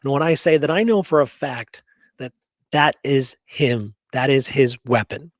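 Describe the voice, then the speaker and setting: A man's voice: mid-range voice, measured pace, dry recording, clean studio sound. A man hosting a history show, talking through research casually and emphatically, repeating points for stress.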